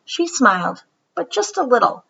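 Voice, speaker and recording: Woman reading a kids book out loud, high lively voice, kinda lo-fi recording. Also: normal speed, vocal fry, husky. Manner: animated, dramatic storytelling with whispered asides. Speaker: storybook reader. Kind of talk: expressive read-aloud narration of a children's picture book.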